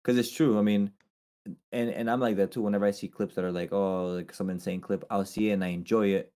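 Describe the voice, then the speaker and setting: A man's voice: lowish voice, level tone, quick pace, mellow. A man co-hosting a casual podcast, easygoing, often saying dude and bro. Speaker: co-host.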